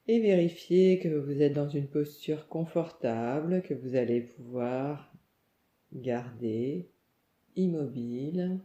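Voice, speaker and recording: A woman leads a guided relaxation meditation, calm and soothing, giving gentle instructions in short phrases with pauses. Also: low-pitched. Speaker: yoga nidra meditation guide. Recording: muffled, echoey room sound.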